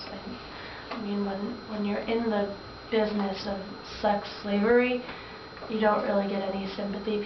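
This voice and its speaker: Halting young trafficking victim, acted by a woman, echoey and distant. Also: mid-range voice, lightly acted. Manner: halting, hesitant. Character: young female trafficking victim, subdued, haunted. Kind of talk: student-acted mock interview testimony. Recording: echoey, distant, noisy lo-fi room recording.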